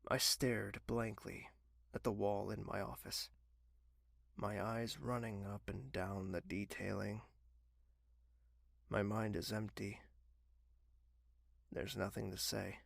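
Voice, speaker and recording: A man playing a bitter despairing young man, slow and gravelly. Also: on the low side, flat delivery, dry recording, studio quality, heavily acted. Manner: weary and bitter, snapping into anger. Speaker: narrator and lead character.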